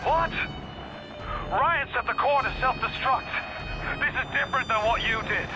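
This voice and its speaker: A man's voice: very high-pitched, measured pace. A man playing a desperate, roguish Irish rebel leader in a video game, urgent and colloquial. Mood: alarmed. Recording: noisy radio transmission, sounds behind.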